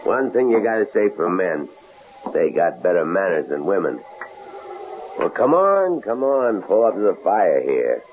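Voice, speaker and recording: An actor playing a big, rough, menacing drifter with a coarse, mocking, halting way of talking, in a 1970s radio drama. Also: male voice, low-pitched, very animated. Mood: needling. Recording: dull, noisy old radio broadcast.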